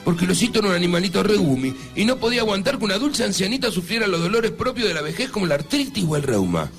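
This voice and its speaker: A cloying children's storyteller with a high, animated voice and some gravel in it. He narrates a mock fairy tale for radio. Music plays softly behind. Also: racing. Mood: cloying, playful. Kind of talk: parody bedtime-story narration. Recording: music under the voice.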